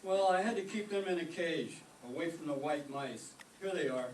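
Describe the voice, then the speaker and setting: A man's voice: on the high side, lively intonation, normal speed, natural voice. Man playing a mild teacher, echoey room. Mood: mild, earnest. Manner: polite, earnest. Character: mild, earnest, oblivious male biology teacher. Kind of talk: old-time radio comedy acting. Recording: echoey, distant microphone, faint noise.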